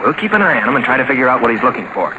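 A take-charge young all-American hero played by a male actor in a 1940s radio serial. He talks fast and decisively, with a highly animated male voice, over a noisy old recording. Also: natural voice. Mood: thoughtful, suspicious.

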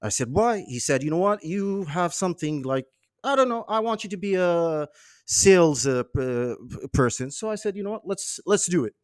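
A young man tells his story in a loose, chatty way, filling gaps with "uh" and "you know". His voice is smooth and clear. He talks quickly in a dry, close-miked sound. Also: high-pitched, no echo. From Canada. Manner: relaxed and chatty, many uh and you know fillers. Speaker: guest. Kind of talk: casual interview.

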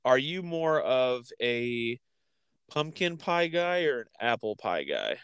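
A man chatting casually as a podcast co-host, haltingly thinking aloud as he goes. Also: mid-range voice, big pitch swings, fairly bright tone, clean tone, no room sound, studio quality. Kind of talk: casual chat.

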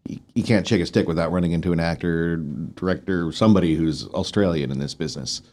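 A man's voice: deep voice, fast, darkish tone. A man from the United States hosting an interview, asking jokey questions, laughing often and stammering on the starts of his sentences. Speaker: host. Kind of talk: interview questions, casual chat.

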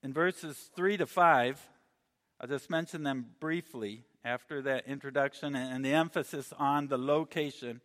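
A man's voice: mid-range voice, fairly bright tone. Earnest male preacher in a reverberant hall. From Mexico. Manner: earnest, measured delivery with frequent restarts. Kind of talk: sermon.

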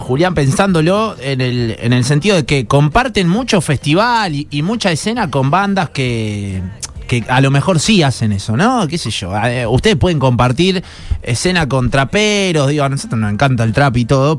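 This is a male host with a Rioplatense Argentine accent and a fairly high-pitched voice. He interviews in a relaxed, chatty register, building his questions as he goes. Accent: Rioplatense Argentine Spanish. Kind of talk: casual conversational interviewing.

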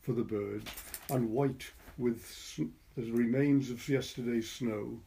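A man's voice: mid-range voice, fairly even intonation, takes it slowly, creaky, mellow. A man with a Welsh accent, a curator talking informally and reading a literary short story aloud. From Wales.

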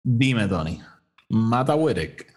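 A man's voice: medium pitch, sing-song, slow pace, a little mellow. A man with a Puerto Rican accent co-hosting a casual review chat, informally mixing English words into his Spanish. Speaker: podcast co-host.

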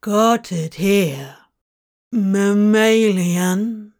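A woman's voice: mid-range voice, very slow, a little mellow. A woman voicing a bored, slothful male demon bureaucrat in a dull, grumbling, dry manner for a story.

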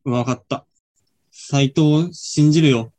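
A man's voice: on the high side, takes it slowly, a little mellow. A man playing a hesitant, loyal young friend in a student radio drama. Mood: anguished, hesitant.

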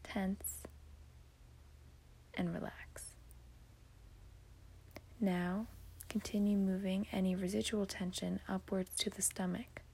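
A woman podcast host whispering a guided sleep meditation in a breathy, hushed, soothing manner. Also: medium pitch, flat delivery, slow pace, pauses often, a little hiss or hum.

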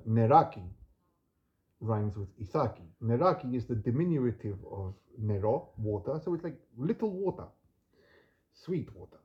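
A man hosting a relaxed podcast, talking in a gentle, unhurried and reflective way, with soft trailing endings and some Greek words read with a learner's accent. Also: medium pitch, lo-fi sound. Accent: non-native Greek pronunciation when reading. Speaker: podcast host. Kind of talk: relaxed spoken monologue with poetry reading.

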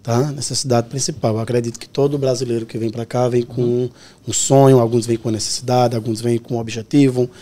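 Podcast guest, 30s male, Pernambuco accent, mellow and earnest. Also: medium pitch, little pitch movement, brisk. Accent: Pernambuco Brazilian Portuguese. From Pernambuco, Brazil. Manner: earnest, explains his story step by step. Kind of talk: casual podcast interview.

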